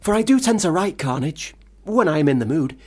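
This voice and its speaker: An actor in a radio play, a man, playing a failed, self-pitying young gentleman writer with formal, wordy diction. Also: fairly high pitch, very animated, brisk, slightly rough, no echo. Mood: boyish, boastful. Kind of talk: radio play monologue and dialogue.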